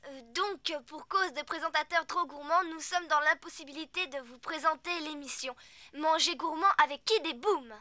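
Very high-voiced little girl reporter. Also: normal speed, bright, forceful tone, dry recording. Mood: mock-serious. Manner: crisp, mock-serious announcing. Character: earnest little girl playing self-important TV news reporter. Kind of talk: French cartoon dubbing.

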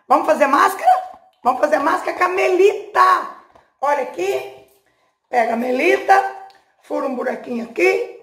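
A woman's voice: high voice, creaky, a bit bright. A woman, casually explaining a home craft step by step while she works, asking the viewer questions as she goes. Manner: chatty, talks while working with her hands, asks the viewer questions. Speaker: craft channel host. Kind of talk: casual hands-on tutorial. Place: home room, indoors.

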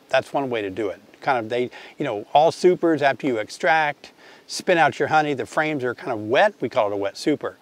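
Older male instructor, slightly high voice, friendly and eager, recorded outdoors in a field. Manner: chatty, enthusiastic, addresses viewers directly. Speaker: beekeeping teacher. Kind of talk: casual outdoor tutorial. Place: outdoor apiary, grassy field.

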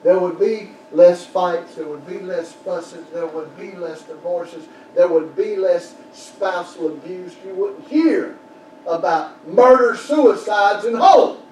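Older pastor, fervent pulpit preacher, echoey sanctuary recording with room noise. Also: male voice, sits high, slow pace. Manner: fervent preaching with sudden shouted peaks, then quiet prayer. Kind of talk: sermon. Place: small church sanctuary. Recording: roomy church echo from far off, background noise.

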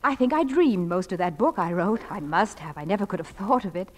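A woman acting a gentle, wistful widowed mother in middle age, in 1940s-style radio drama. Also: mid-range voice, animated, fairly quick, lightly acted. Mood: tender, reflective.

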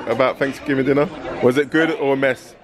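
A man's voice: mid-range voice, fairly even intonation, brisk, a crowd in the background. A man in his thirties chatting in a jokey, upbeat vlog and teasing the family. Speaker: vlogger. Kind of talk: casual vlog chat.

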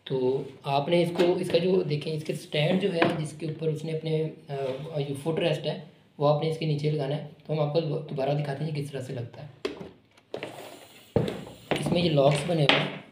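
A man casually explaining, step by step, how to unbox and assemble a product. He talks in a relaxed, repetitive way while working with his hands. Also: fairly high pitch. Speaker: online shop product demonstrator. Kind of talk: unscripted unboxing and assembly walkthrough. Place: indoor room with a table. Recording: echoey room with noticeable background noise.

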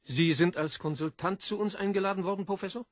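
An actor playing an urbane, self-important literary editor in a German radio drama, polite, formal and sceptical. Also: male voice, high voice, brisk, dry recording, studio quality, lightly acted.

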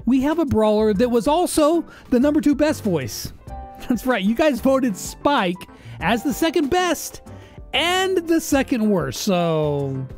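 A man's voice: very high voice, big pitch swings, slow, a little mellow. A man, giving casual, conversational countdown commentary as a video host. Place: small home studio, indoors. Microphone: close boom-arm studio microphone. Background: faint music.

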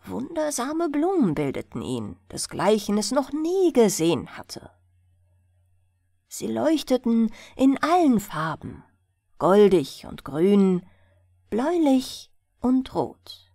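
A woman's voice: on the high side, big pitch swings, slow pace, gravelly, mellow, dry recording, clean studio sound. A woman narrating a fairy tale as a bedtime audiobook.

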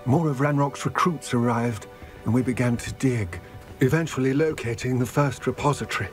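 Man voicing a solemn goblin, weary, measured, mid-pitched, soft music underneath. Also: natural voice. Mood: somber. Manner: measured, somber storytelling. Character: weary old male goblin, solemn and grave. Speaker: game character.

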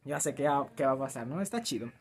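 A young man with a Latin American Spanish accent, chatting casually and self-deprecatingly to camera as a rambling, animated YouTuber. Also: mid-range voice, quick pace, slightly rough. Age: teens to early twenties. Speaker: YouTuber reacting to a fan dub. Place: small cluttered room at home.

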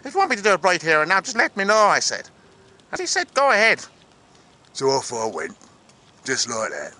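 A man's voice: high-pitched, wildly swooping pitch, fairly quick, sharp tone, pitched below the performer's own voice, heavily acted. A man playing an eager, proud Irish actor with an Irish accent, recounting auditions in a vlog monologue. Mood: animated, proud. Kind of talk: vlog monologue with acted dialogue.

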